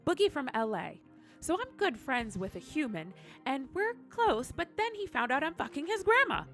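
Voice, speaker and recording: A cheeky, shameless little goblin in a comedy skit. The voice is high and squeaky with plenty of gravel, swinging wildly in pitch over clear background music. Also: female voice, very animated, normal speed, fairly bright tone, heavily acted. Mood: brazen. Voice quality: squeaky, creaky. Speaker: reader of viewer tips. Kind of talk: comedic character reading. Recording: clear music bed behind.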